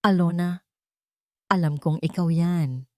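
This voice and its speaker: An earnest, insistent man from an audiobook melodrama, acted by a woman narrator. The delivery is urgent and pleading, in a mellow timbre with a close, dry sound. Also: fairly low pitch, slow pace, no room sound. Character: earnest, pleading man confronting his lost love.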